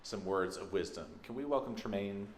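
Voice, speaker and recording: A man's voice: on the low side, brisk. A man with a Texas accent, a minister giving a conversational, folksy welcome to a congregation at a church service. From Texas, United States. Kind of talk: informal spoken welcome to a congregation. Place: large church sanctuary, indoors. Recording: echoey sanctuary with background noise.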